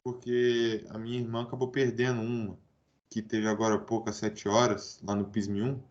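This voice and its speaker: Low-voiced Brazilian student, distant and muffled. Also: male voice, little pitch movement, moderate pace. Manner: casual, polite question with slang filler. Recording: distant from the mic, dull lo-fi sound.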